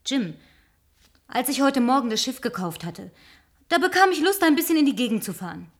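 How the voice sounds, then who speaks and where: A woman's voice: high voice, very animated, fairly quick. An actress in a German radio play, voicing an inquisitive, suspicious, solitary woman with a probing way of talking. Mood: accusing. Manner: probing, questioning.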